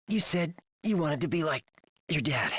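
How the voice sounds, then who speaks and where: A woman's voice: low-pitched, expressive, normal speed, slightly rough, dry recording, studio quality. An actress playing a bold, loyal and determined young female soldier in a video game, speaking with plucky, heartfelt conviction. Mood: strained, weakening. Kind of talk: dramatic video game voice acting.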